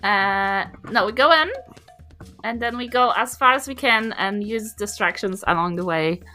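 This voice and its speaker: A woman's voice: mid-range voice, animated, normal speed, sharp tone, faint music behind. A woman chatting casually and unscripted as a player in a tabletop game. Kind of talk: casual unscripted group chat.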